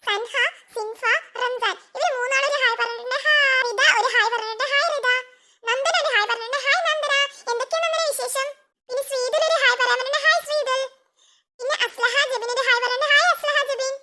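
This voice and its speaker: A woman hosting a cartoon channel, giving casual, chatty shout-outs to viewers in a repetitive greeting pattern. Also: very high-pitched, quick pace, background noise.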